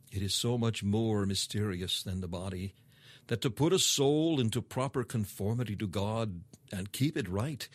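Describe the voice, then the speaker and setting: A man reading a scripted sermon as audiobook narration in a formal, measured, emphatic manner. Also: lowish voice. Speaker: sermon reader.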